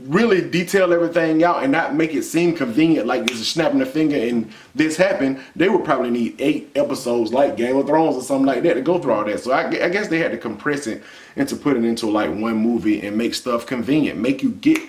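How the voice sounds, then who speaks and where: Casual American male vlogger, quick colloquial delivery, medium pitch, echoey room. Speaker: vlog host reviewing a film. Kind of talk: casual chat, movie review. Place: indoor room with bare wall. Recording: roomy indoor echo.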